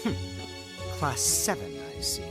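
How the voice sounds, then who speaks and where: A man's voice: medium pitch, wildly swooping pitch, a little slow, music in the background. A man voicing a haughty, sneering teenage noble boy in a video game, imperious and disdainful. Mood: haughty, dismissive.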